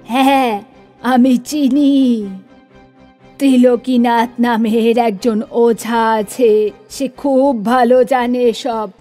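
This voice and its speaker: A woman acts out a superstitious old grandmother in a horror cartoon. She speaks very slowly and gravely, in a fairly high but mellow voice. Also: fairly even intonation, faint music behind. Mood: worried, grave. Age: older. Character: concerned old grandmother who believes in spirits. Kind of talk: animated horror story dialogue.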